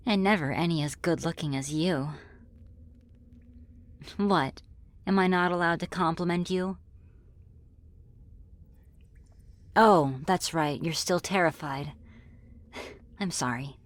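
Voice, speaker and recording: A woman playing a friendly, flirty, apologetic demon in an ASMR roleplay, speaking in a gentle, reassuring, conversational way. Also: deep voice, moderate pace, long pauses, lightly acted. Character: friendly, flirty, apologetic female demon ruling her own realm. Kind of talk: ASMR roleplay spoken to the listener.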